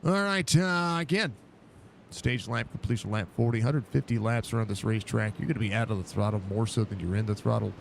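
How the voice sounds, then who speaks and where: A man with a stuffed-up, gravelly low voice calls a live online race, rambling with fillers and getting excited at every crash. There is a faint hiss of noise behind him as he talks into his home setup. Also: brisk. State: stuffy, congested. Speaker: sim racing broadcaster.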